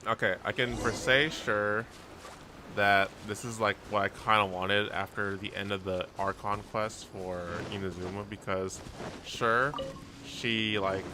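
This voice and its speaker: A young man streams a game with casual reaction commentary. His voice is on the low side and his phrasing is halting and informal. Game ambience and noticeable noise sit behind him. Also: fairly even intonation. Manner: informal, halting, thinking aloud. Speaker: gaming streamer. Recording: noisy stream with game sound.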